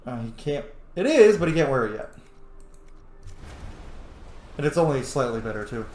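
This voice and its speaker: A man's voice: mid-range voice, very animated, normal speed, darkish tone. A man doing casual, chatty, informal gaming commentary as he plays. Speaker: gaming video host. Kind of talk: casual roleplay playthrough commentary. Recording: roomy sound with faint noise.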